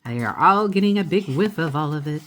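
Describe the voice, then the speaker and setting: A woman reading a children's picture book aloud in an expressive, animated way, acting out the characters. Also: sits low, fairly quick, a little hiss or hum. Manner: animated, acts out character voices.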